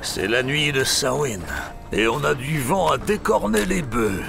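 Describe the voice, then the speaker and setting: An actor playing a cruel, cunning old drunkard blacksmith in an audio drama, with a gruff, gravelly, muttering delivery. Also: male voice, mid-range voice, lively intonation, unhurried, over music. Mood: sly, boastful. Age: older. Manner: gruff, drawling, muttering hesitations. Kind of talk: French audio fiction acting.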